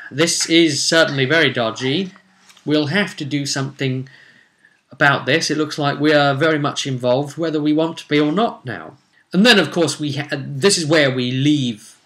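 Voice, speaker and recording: A man's voice: fairly high pitch, bright, forceful tone. A man recapping a tabletop fantasy game as game master, telling it casually but dramatically with wry asides. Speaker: game master and series presenter.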